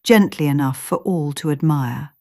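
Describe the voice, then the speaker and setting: A woman narrating a scripted story in a measured, literary storytelling manner. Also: deep voice, dark tone.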